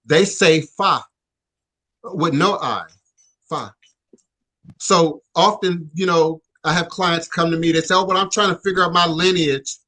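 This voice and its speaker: Male radio host, casual chat, bright tense voice, slightly high, stammers and restarts. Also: measured pace, long pauses, rough recording. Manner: reflective, many stammered restarts and "you know".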